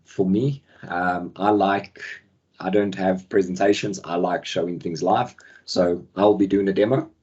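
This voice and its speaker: A man hosting a software webinar over a video call, his voice croaky from the flu, talking in a relaxed way with many ums. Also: low-pitched, dull audio. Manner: relaxed, many ums, friendly filler words. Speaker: webinar host and product manager. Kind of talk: casual software webinar presentation. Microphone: video call microphone.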